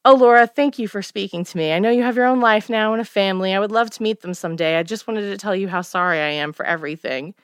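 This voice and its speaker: A woman's voice: medium pitch, fast talker, hardly any pauses, clean tone, dry recording, natural voice. A frantic, remorseful mother, put on by a woman narrating a storybook tale, pleading in a lifted, urgent voice. The recording is dry and close, with no room around it. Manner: tearful, pleading, rising to anguish.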